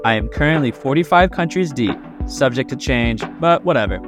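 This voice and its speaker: A man's voice: medium pitch, animated, moderate pace, over music. A young man hosting a podcast in an upbeat, casual interview style with a chummy, slangy way of talking. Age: young adult. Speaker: podcast host.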